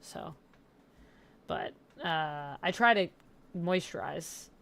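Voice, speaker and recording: A woman's voice: lowish voice, takes it slowly, vocal fry, fairly bright tone. A young woman, a bit sniffly, chatting casually and telling rambling stories while she colors on a livestream. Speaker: YouTuber host.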